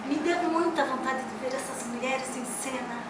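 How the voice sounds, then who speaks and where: A woman's voice: sits high, moderate pace. A woman with a Brazilian Portuguese accent reacting casually in an effusive, exclamatory way. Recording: very echoey, noisy room, far off.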